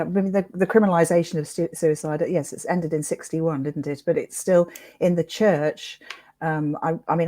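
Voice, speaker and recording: A woman's voice: deep voice, warm tone, no echo. A British woman talking in a conversational interview, earnest and informal in her manner. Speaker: interviewer and suicide prevention campaigner.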